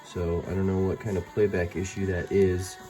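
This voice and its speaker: Man, low relaxed voice, hesitant casual reviewer thinking aloud, faint music behind. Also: moderate pace. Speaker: amateur product reviewer. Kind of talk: casual hands-on gadget review. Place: indoors by a window. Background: music tracks played as demonstration.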